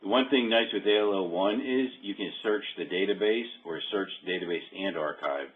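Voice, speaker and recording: A man with a very low voice walks someone through software on a muffled, phone-like call. He talks casually and haltingly, working things out aloud as he goes. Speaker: software demonstrator. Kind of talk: casual screen-share walkthrough. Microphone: phone or conference call.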